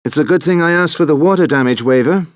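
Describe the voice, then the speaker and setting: A man playing a suave, unflappable secret agent in a video game, delivering cool, deadpan quips. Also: on the high side, fast, no room sound, clean studio sound, natural voice. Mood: cool, wry.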